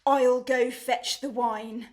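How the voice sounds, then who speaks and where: An actress playing an excitable, loyal young maidservant in a comic period radio play, deferential but bluntly cheeky. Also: female voice, a little high, slow. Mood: urgent, warning.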